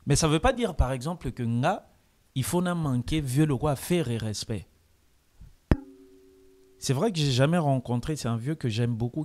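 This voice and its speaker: A man speaks at a press conference. His voice sits at a middle pitch, and he answers in a measured, reflective way, dropping Lingala phrases between his sentences. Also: darkish tone. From Congo. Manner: mixes French with Lingala, reflective.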